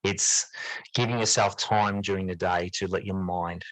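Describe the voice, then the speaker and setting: A man with a low voice talks reflectively as a podcast guest. He is earnest, repeating and reworking phrases as he goes. The sound is dry, with very little room around it. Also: steady tone, moderate pace, a bit bright, no echo, low-quality recording. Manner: earnest, repeats and rephrases himself as he thinks. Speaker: podcast guest, teacher and writer. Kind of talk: reflective interview talk.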